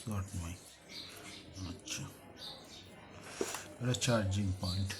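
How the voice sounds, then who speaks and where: A man's voice: low voice, slow pace. A man with an Indian Bengali accent talking casually as a vlogger during an unboxing, informally mixing in English words. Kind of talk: casual unboxing vlog talk. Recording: very noisy amateur vlog recording.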